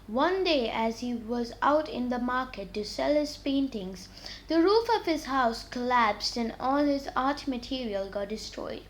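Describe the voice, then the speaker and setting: A woman reading moral stories aloud for children in an expressive, deliberate, scripted storytelling manner. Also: fairly high pitch, low-quality recording.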